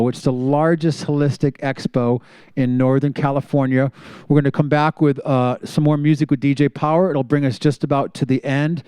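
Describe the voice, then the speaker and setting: A male host with a crisp, resonant voice, speaking in a dry close-up sound. He talks in an easygoing, eager way, tossing out fun facts and handing off to the next segment. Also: a little high, a little mellow, no room sound. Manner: enthusiastic, shares fun facts with a chuckle. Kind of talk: casual radio show hosting.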